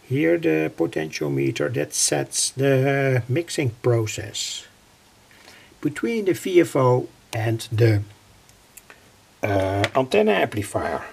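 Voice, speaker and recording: An older man with a husky, gravelly voice, giving an unscripted workbench demonstration in halting, hesitant phrases. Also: medium pitch, sing-song. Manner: halting, hesitant phrasing with repeated filler words. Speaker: radio hobbyist presenter. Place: indoor home electronics workbench.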